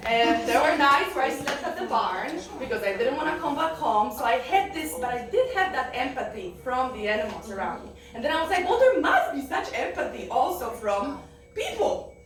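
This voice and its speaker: A young woman from Poland tells her life story to a room at a benefit, candid and halting, laughing often. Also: sits high, very animated, a little hiss or hum. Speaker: guest speaker. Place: event room. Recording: echoey room from far off.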